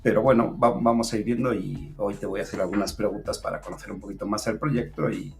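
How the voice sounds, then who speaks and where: A man hosting an online interview show, speaking in a halting way with frequent fillers. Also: on the low side, steady tone, creaky, faint music behind. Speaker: host.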